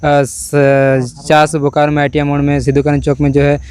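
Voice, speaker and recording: A man interviewing for a local news channel, asking long, halting, rambling questions. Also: on the high side, monotone, a little mellow, clear, resonant tone. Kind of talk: informal field interview questions.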